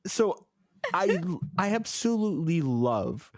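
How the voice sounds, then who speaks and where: A man co-hosting a podcast, chatting casually with deadpan, jokey asides. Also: on the high side, takes it slowly, no echo, studio quality. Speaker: podcast co-host. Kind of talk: casual chat.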